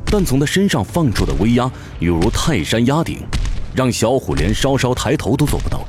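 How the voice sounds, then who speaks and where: A man's voice: medium pitch, sing-song, normal speed. A man giving dramatic scripted narration of a fantasy audio novel. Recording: music behind the voice. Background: music.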